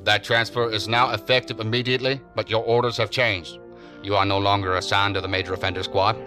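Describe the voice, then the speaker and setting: An actor playing a stern, formal assistant director of a federal agency in a science fiction audio drama, speaking firmly and with measured authority. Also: male voice, lowish voice, flat delivery, music in the background, lightly acted. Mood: firm, businesslike. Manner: formal, firm, commanding, measured.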